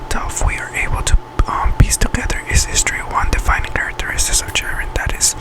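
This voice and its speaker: A man reading an article aloud in an ASMR whisper, halting and often stumbling over words and correcting himself. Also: high-pitched, poor audio. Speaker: ASMR channel host.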